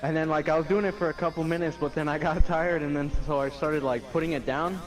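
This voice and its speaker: A man's voice: on the high side, steady tone, fast talker, poor audio. A young man casually telling anecdotes to a friend, rambling, slangy and full of swearing. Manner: slangy, profane, loose and rambling.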